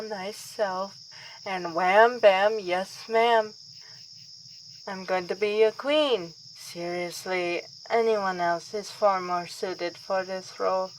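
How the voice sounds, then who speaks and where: Unhurried, reluctant vampire princess voiced by a woman. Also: medium pitch, natural voice, poor audio. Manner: formal wording with wry, weary asides. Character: reluctant vampire princess, kindly but exasperated, gently haughty. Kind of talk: ASMR roleplay acting. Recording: outdoor ambience with faint insect noise.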